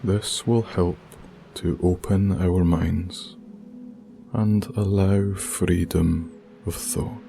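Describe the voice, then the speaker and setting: Very slow, deep-voiced man guiding meditation. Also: steady tone, vocal fry, dark tone, slight background noise. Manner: soothing, deliberate, with long pauses. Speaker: meditation guide and coach. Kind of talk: ASMR guided meditation. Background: music with binaural beats.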